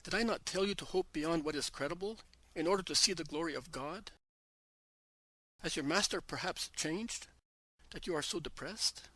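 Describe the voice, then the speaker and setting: A man voicing a calm, grave male teacher who consoles and commands in a devotional story reading. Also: a little high, no echo, poor audio. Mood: gentle consoling. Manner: measured, solemn, consoling.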